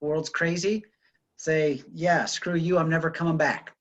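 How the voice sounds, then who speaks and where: A man's voice: a little high, flat delivery, unhurried, highs cut off. A man enthusiastically explaining a two-legged pay plan on a group call, laying out the numbers point by point. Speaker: business partner explaining a compensation plan.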